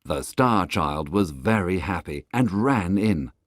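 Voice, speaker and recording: A man's voice: low-pitched, animated, takes it slowly, dry recording, studio quality. A man reading a scripted children's tale as its storybook narrator.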